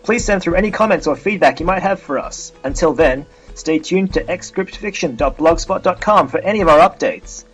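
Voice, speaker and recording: A man's voice: fairly high pitch, fairly quick, fairly bright tone, breathy edge, muffled recording, soft background music. A man hosting an audio play, reading a scripted closing credits announcement. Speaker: host and voice actor.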